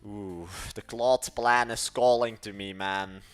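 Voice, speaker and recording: A man's voice: on the low side, steady tone, slow pace, smooth, faint music behind. A young man with a Dutch accent chatting casually on a livestream, rambling and correcting himself as he goes. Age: young adult. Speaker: livestream host.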